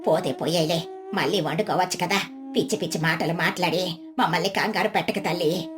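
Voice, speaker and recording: A nosy village auntie with something to hide, voiced by a woman storyteller. She speaks lower, in a scolding, dismissive way, as in a storybook tale. Faint music plays behind. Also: brisk, natural voice. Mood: nervous, dismissive. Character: nosy village auntie, guilty and feigning concern.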